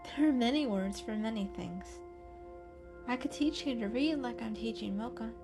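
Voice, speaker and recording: A woman plays a shy, learned female cat in a storybook tale. She is calm and kindly, explaining with gentle precision over a clear music bed. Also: a little high, moderate pace, close to an everyday voice.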